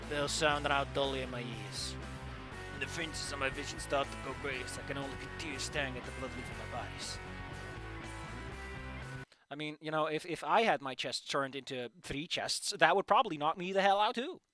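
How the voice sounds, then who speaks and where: A man's voice: on the high side, brisk, loud music behind the voice. A man reading a fan fiction story aloud live, breaking off often to make casual, profane, joking comments about the writing.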